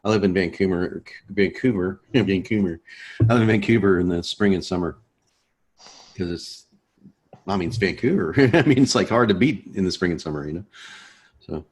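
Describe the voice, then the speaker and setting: A man's voice: low-pitched, normal speed, long pauses, a little mellow, husky. A man hosting a course, joking in laid-back casual chat on a video call before the lesson. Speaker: course host.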